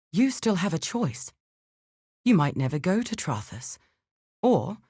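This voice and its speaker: An actress playing an earnest, thoughtful scholar in exile in a video game, speaking in a measured, articulate and grave way. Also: female voice, on the low side, a little mellow, no room sound, studio quality. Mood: pensive. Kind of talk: scripted dramatic game dialogue.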